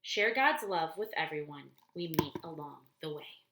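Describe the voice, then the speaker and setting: A woman reading a children's story aloud expressively, deliberately, explaining things in simple words. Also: sits low, lively intonation, noisy background. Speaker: storybook reader for church children. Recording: roomy, echoey indoor sound.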